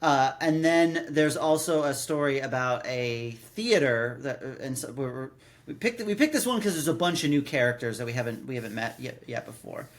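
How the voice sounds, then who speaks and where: A man's voice: medium pitch, lively intonation. A man in his late thirties with a mid-range voice co-hosts a podcast. He talks in a casual, conversational way, stammering and repeating the start of his phrases as he works toward his point. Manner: halting, stammering as he explains. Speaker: podcast co-host. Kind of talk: casual chat.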